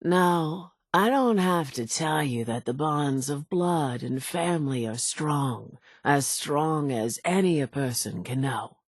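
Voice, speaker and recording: An actress playing a vengeful, folksy old islander woman in a video game, with a weathered, husky voice and a deliberate storytelling way of talking. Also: sits low, expressive, no room sound, clean studio sound, exaggerated voice. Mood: ominous. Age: older. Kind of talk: video game character dialogue.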